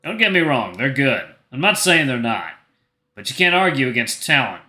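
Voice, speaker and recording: A man's voice: medium pitch, very animated, moderate pace, bright, forceful tone, reverberant, soft background music. A man acting an earnest, easily flustered and defensive teenage boy in an audio drama. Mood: resigned, earnest.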